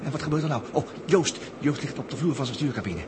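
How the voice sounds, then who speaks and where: An actor playing an excitable, anxious male astronaut in an urgent, exclamatory 1960s Dutch radio drama style. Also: a little high, fast, close to an everyday voice. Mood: alarmed. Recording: noisy early-1960s radio drama recording.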